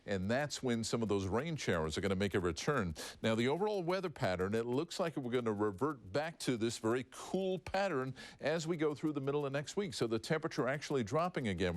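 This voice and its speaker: A man's voice: medium pitch, dry recording. A man with an American accent presenting a conversational live TV weather forecast.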